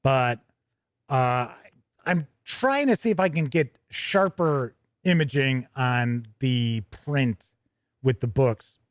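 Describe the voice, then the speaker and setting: A man's voice: mid-range voice, expressive, slow pace, no room sound, clean studio sound. A man hosting a casual livestream, talking in a rambling, conversational way and often interrupting himself as he goes. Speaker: livestream host. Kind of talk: casual livestream chat, answering viewer questions.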